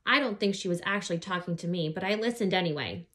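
A woman's voice: fairly low pitch, fast talker, fairly bright tone, lightly acted. A woman voicing sour jealous stepsister Abby. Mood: bored. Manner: sour, accusing.

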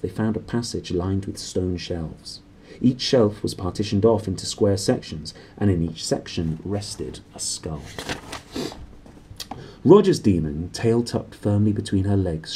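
Male storyteller, thirties. Low, mellow, slightly husky; swooping, dramatic read. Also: unhurried, a little hiss or hum, rough recording. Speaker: storybook reader. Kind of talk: expressive read-aloud of a children's novel. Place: small cluttered room indoors.

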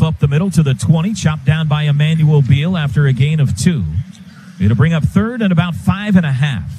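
A man with an American accent and a fairly high voice works as a football radio announcer. He describes the action in short clipped phrases, live from the booth, with faint background noise. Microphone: broadcast booth microphone. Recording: live stadium broadcast, faint ambient noise.

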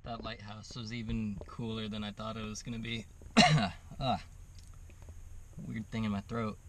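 A man's voice: fairly low pitch, flat delivery, moderate pace. A young man vlogging on a road trip in a casual, rambling way with lots of fillers, recorded on an action camera in his car and by the sea. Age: young adult. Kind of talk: casual travel vlog. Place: inside a car and outdoors by the sea. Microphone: action camera on dashboard or held at arm's length. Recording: loud car and wind noise.

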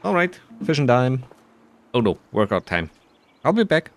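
A man's voice: mid-range voice, sing-song, soft background music. A man giving casual, playful playthrough commentary on a video game, often musing to himself. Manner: playful, self-talking, drawn-out musing. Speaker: video game player and narrator.

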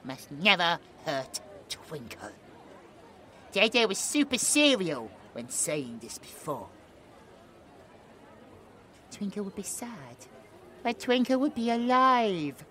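A man's voice: very high-pitched, pushed and bright, a little hiss or hum, exaggerated voice. A British man voicing a childlike possessed young man who talks in broken baby-talk about himself in the third person, in an ASMR roleplay.

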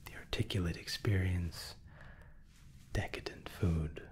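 Whispering man, slow ASMR roleplay. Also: low voice, fairly even intonation. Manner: soft, slow, courteous attentive steward. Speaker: ASMR roleplay performer as spaceship flight attendant.

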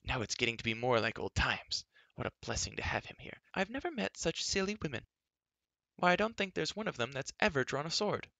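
A headstrong young storybook girl, as played by a male reader, chattering away in a raised, bright pitch. She is emphatic and quick to take offence, with an adventurous, bossy drive. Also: big pitch swings, creaky, no echo, exaggerated voice. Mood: eager, chattering. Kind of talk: acted audiobook dialogue.

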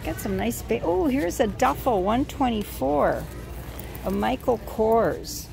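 A woman's voice: a little high, animated, gravelly, darkish tone. A woman vlogging as she shops in a retail outlet, musing aloud and reading off prices as she browses, filmed on a handheld phone. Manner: slow, musing price read-outs while browsing. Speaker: shopping vlogger. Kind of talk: casual shop-with-me narration. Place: retail outlet store, indoors. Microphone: handheld phone camera. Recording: store music behind.

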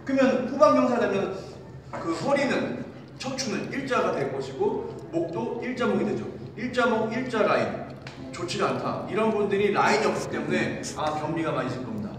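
A man in his thirties, a fitness coach, giving an animated exercise lesson while demonstrating moves in a large indoor gym, filmed from a distance. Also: sits high, a little fast, a little hiss or hum. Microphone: far camera. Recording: echoey gym from far off.

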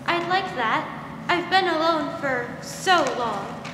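A woman's voice: high-pitched, expressive, slow. A woman playing a mysterious, old-fashioned twelve-year-old ghost girl in a 1940s-style radio drama, quaint and matter-of-fact. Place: school theater stage. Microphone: stand microphone, masked performer. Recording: echoey theater hall, faint noise.

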